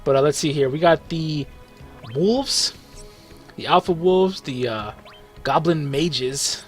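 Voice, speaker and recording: A man's voice: a little high, expressive, slow, faint music behind. A man giving casual, rambling commentary over a mobile game run, full of fillers and self-corrections as he walks viewers through it. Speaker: gaming video host.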